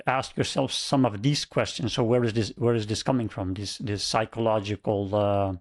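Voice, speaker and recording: A man's voice: fairly low pitch, steady tone, moderate pace. A man hosting a casual chat, talking through a guest's struggles in a thoughtful, reassuring way with fillers. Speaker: trading channel host.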